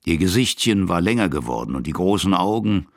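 An actor playing a gruff, kindly old army officer who tells his tales colloquially and vividly in a radio play. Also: low-pitched, big pitch swings, brisk. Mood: reflective narrating. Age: older. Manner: colloquial, vivid, dramatic storytelling.

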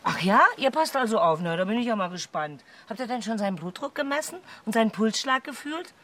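A woman's voice: on the high side, lively intonation, fairly quick, fairly bright tone. An actress playing an anxious, exasperated businesswoman and mother in her forties in a naturalistic radio drama, agitated and sarcastic, repeating herself. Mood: biting sarcasm. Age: 40s.

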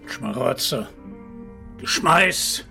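An actor playing a haughty, fanatical killer in a radio crime drama, declaiming with contempt in a gravelly voice. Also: male voice, medium pitch, lively intonation, very slow, pushed and bright, soft background music, heavily acted. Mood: scornful, agitated. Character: pompous, disdainful male zealot and killer, cornered.

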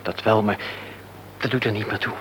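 A man's voice: on the low side, steady tone, moderate pace, fairly bright tone, slight background noise, close to an everyday voice. An actor playing an earnest young man in a radio drama who was hurt but forgives, speaking directly.

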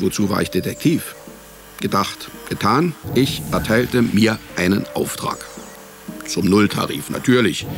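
Deadpan private detective, music behind. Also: male voice, on the low side. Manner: deadpan, sardonic. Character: wry, broke male private detective, down on his luck. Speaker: narrator and lead actor. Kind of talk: first-person detective radio play narration and dialogue.

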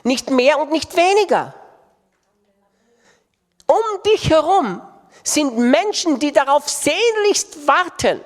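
A man's voice: very high-pitched, very animated, takes it slowly, long pauses. A man preaching a sermon with impassioned delivery and emphatic repetition. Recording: long reverb of a large hall.

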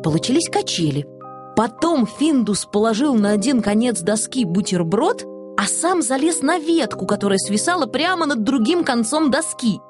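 Animated woman reading a children's story. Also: a little high. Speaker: audiobook narrator. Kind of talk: expressive scripted children's story narration.

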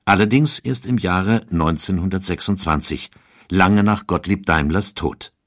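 Low-pitched male narrator, dry studio sound. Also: unhurried, no room sound. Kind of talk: scripted documentary narration.

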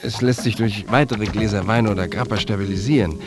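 An actor playing a musing drinker who philosophises in a literary radio drama. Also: male voice, on the low side, a little fast, music in the background, lightly acted. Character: musing wine drinker philosophising on his blurred view.

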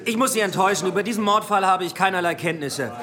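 A man's voice: sits high, fast talker, a loud crowd, lightly acted. An actor playing a dry-witted, self-assured, composed male police inspector in a radio drama. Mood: firm, official. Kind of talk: German radio drama acting.

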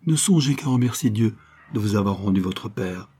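A man's voice: lowish voice, lively intonation, moderate pace, dark tone, breathy edge. A man voicing a noble, compassionate older mother in an audiobook, solemn and full of feeling. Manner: solemn, trembling with emotion.

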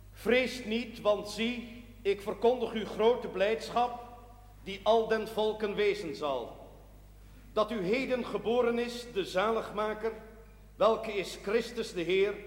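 Echoing, high-pitched solemn priest. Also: male voice. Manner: solemn, measured, declamatory. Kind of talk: sermon in a period television drama. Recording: echoing church-like hall, long reverb.

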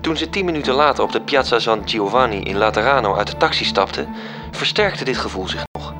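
A man's voice: medium pitch, expressive, brisk, lightly acted. A man playing a composed, solemn storyteller in a literary radio drama, narrating. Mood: suspenseful. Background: music.

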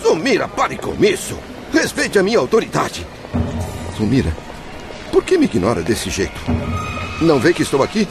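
A man's voice: fairly high pitch, wildly swooping pitch, moderate pace, vocal fry, long pauses, music in the background, heavily acted. A man voicing the ghost of an anguished middle-aged father, pleading and distraught, in a radio drama.

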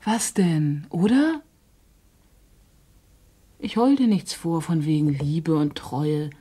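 A woman's voice: low-pitched, warm tone, breathy edge, full character voice. An actress playing a hard-edged streetwise woman who talks bluntly and coaxingly in slang. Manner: blunt slangy coaxing, slow, drops to a whisper. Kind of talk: radio play acting.

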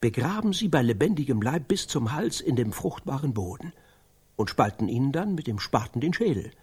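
A grim, intense storyteller in a German radio play. His husky voice swings widely in pitch as he lays out horrors in slow, halting, dramatic phrases. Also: big pitch swings, a little mellow. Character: intense man grimly narrating scenes of atrocity.

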